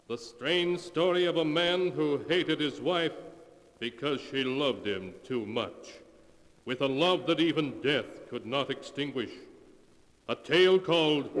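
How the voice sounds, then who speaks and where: A man narrating a 1940s radio horror show with solemn, theatrical, drawn-out delivery, as a teller of dark tales. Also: mid-range voice, natural voice. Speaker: announcer narrating a horror anthology. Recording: noisy 1940s radio, long cavernous reverb.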